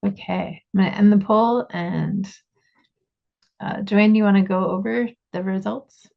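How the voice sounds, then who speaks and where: A woman's voice: medium pitch, fairly even intonation, measured pace, vocal fry. A woman hosting a webinar, moderating speakers and running polls over a video call in an upbeat way. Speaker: webinar host. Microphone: computer mic on a video call. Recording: dull lo-fi call audio.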